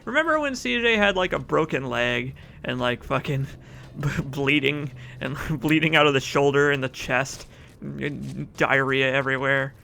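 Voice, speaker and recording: Gravelly bright-voiced man streaming a game. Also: mid-range voice, normal speed, a little hiss or hum. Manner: chatty, rambling, reads chat aloud, laughs often. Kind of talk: casual gameplay commentary.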